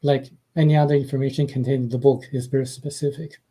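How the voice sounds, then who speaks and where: A man's voice: medium pitch, level tone, fast talker, warm tone. A man from China with a Chinese accent, a radio interview guest speaking hesitantly with many fillers. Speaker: guest author and translator.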